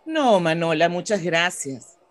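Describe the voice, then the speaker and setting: A woman's voice: on the low side, big pitch swings, slow. A woman playing a polite, kindly and obliging schoolteacher in a radio drama. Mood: friendly.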